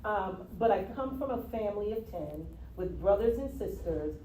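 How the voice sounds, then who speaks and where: A woman from the US Virgin Islands, a guest telling her story in a casual interview, expressive and quick to laugh. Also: mid-range voice. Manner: expressive, laughs easily, repeats "yeah". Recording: roomy, from a distance, faint noise.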